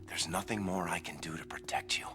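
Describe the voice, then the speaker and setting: A man playing a doomed, tender lover in a game drama. His low voice is hushed and halting, often sinking toward a whisper, with prominent music behind it. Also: fairly even intonation, natural voice. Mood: tender, pleading. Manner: hushed, halting, breathy delivery. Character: dying tender male lover leaving a last recorded message. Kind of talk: dramatic video game acting.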